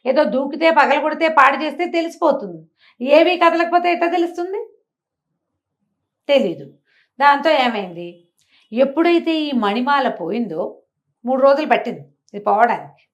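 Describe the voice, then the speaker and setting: A woman's voice: high-pitched, expressive, moderate pace, long pauses. A woman telling a story in a conversational way, posing rhetorical questions as she narrates. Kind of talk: animated oral storytelling in a talk show.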